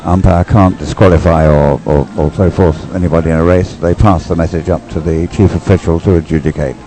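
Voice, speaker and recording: A man's voice: sits low, fairly even intonation, brisk, mellow, faint music behind. An older man giving conversational live race commentary, drifting into rambling explanatory asides. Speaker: sports commentator and race umpire.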